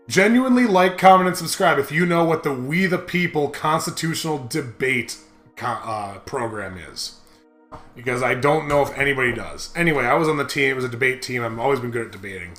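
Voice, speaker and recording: A man's voice: mid-range voice, a bit bright. A young American man rambling emphatically and profanely in casual storytelling as he co-hosts a game playthrough. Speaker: co-host of a video game playthrough. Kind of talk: casual commentary and personal storytelling. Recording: roomy echo, faint music.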